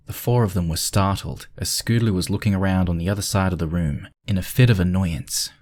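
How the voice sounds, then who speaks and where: A man's voice: low voice, fairly quick, a little mellow. A man reading scripted story narration as the narrator.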